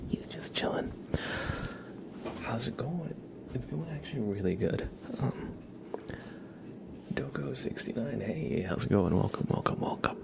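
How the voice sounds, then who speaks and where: A man hosting an ASMR livestream, chatting casually and softly in a gentle, rambling way, often slipping into a whisper. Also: medium pitch, expressive, measured pace, gravelly, pauses often. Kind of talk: soft-spoken ASMR with casual livestream chat.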